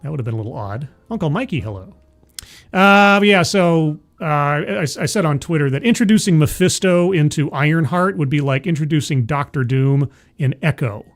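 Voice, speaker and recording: Chatty man in his forties, slightly high. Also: lively intonation, moderate pace, faint music behind. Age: 40s. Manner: rambling, self-deprecating, laughs often. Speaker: livestream host. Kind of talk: casual chat with live chat.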